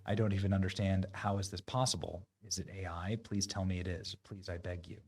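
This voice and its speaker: A man's voice: sits low, level tone, a little fast. A man hosting online political commentary, talking in a mocking, sarcastic and emphatic way.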